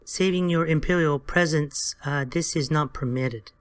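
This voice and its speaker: A woman's voice: low-pitched, unhurried, clean tone. A woman voicing a small, timid silver court jester in a dramatized audiobook, deferential and sing-song in rhymes.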